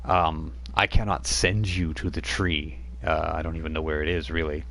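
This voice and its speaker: A man's voice: rumbling low, level tone, moderate pace, vocal fry, fairly bright tone. A man running a tabletop role-playing game on a live stream, rambling with frequent restarts as he narrates. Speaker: game master.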